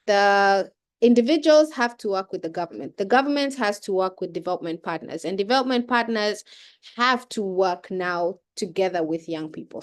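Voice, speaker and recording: Woman with a cold on a video call. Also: mid-range voice. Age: young adult. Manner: chatty, informal, many fillers and restarts. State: has a cold. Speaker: keynote speaker. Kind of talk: casual speech on a video call.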